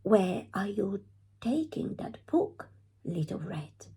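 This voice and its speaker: Woman voicing a husky, cunning storybook wolf. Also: mid-range voice, very animated, takes it slowly, dark tone, exaggerated voice. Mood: sly. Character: cunning hungry male wolf, sly then fierce. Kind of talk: children's storybook character voice.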